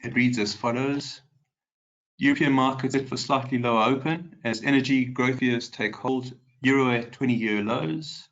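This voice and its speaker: A man's voice: mid-range voice, steady tone, normal speed, a bit bright, highs cut off. Nasal, congested man hosting a webinar. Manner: chatty, greets viewers by name, frequent ums. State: congested from a cold. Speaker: market specialist hosting a webinar. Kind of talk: live webinar market commentary.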